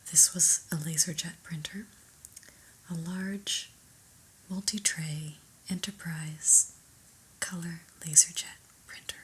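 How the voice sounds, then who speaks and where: A woman playing an unimpressed, politely corporate new manager in a soft, whispered ASMR roleplay, with a measured, dryly disapproving manner. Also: lowish voice, fairly even intonation, slight background noise, poor audio.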